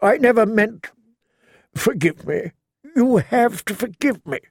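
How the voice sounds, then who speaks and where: A man's voice: high-pitched, lively intonation, vocal fry, husky, voice pushed up, exaggerated voice. A man voicing a pleading grizzled knight. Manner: halting, earnest, stammering.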